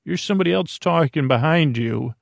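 A man hosting a sleep podcast in a rambling, soothing bedtime monologue, halting and repetitive, with a gravelly, creaky voice. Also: a little high, animated, no room sound, clean studio sound. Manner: meandering, halting, with gentle repetition.

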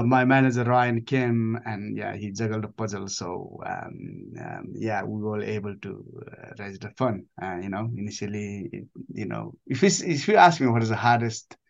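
Nepali-accented man, low gravelly voice. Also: muffled recording. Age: 40s. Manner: halting, searching for words, repeats himself. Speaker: interview guest, adventurer and army veteran. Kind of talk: conversational interview answers.

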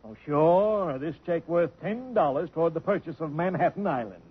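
An actor playing a wisecracking, meddling boarder in a 1950s radio comedy, with a dry, quipping delivery. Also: male voice, on the high side, animated, moderate pace, breathy edge. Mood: wry, teasing. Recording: muffled, noisy old radio broadcast.

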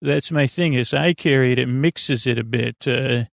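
A man hosting a bedtime podcast in a creaky, soothing voice, meandering haltingly through digressive monologue meant to lull listeners to sleep. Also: medium pitch, dry recording, clean studio sound. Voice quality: creaky, gravelly. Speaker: podcast host.

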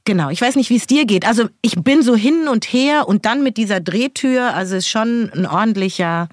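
A woman's voice: on the high side, expressive, a little fast, no echo, clean studio sound. A German woman co-hosting a podcast, talking in a reflective, searching way with many fillers and restarts. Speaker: podcast co-host. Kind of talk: casual podcast conversation.

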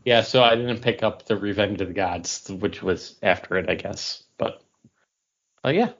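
A man's voice: fairly low pitch, moderate pace, a bit bright. Man casually chatting about comics, lo-fi sound. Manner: chatty, trails off and restarts while recalling names. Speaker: podcast co-host. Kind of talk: casual chat. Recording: dull lo-fi podcast audio.

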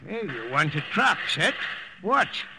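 A man's voice: on the high side, big pitch swings, takes it slowly, background noise, full character voice. An actor playing a blustery, folksy older Irish priest who has lost his false teeth, in an old-time radio drama. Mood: brisk.

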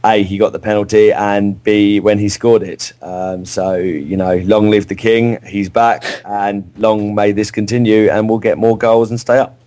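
A hoarse man co-hosting a football fan radio show, excitable and jokey. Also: fairly low pitch, unhurried, hardly any pauses. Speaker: co-host. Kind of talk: casual fan radio chat.

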